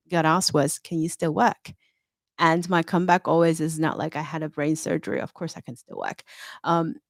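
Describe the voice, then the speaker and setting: Middle-aged woman from hong kong, lowish voice, dry close sound, talks candid and sure of herself in an interview. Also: no echo. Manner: confident, candid, storytelling. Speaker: guest, fintech consultant. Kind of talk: interview conversation.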